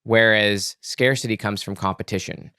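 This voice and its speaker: A man's voice: fairly low pitch, fairly even intonation, moderate pace, pushed and bright, clean tone, no room sound, studio quality. A man speaking as a podcast guest, explaining ideas in an earnest, emphatic way and repeating words for emphasis. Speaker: podcast guest, author and psychologist. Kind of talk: conversational explaining in an interview.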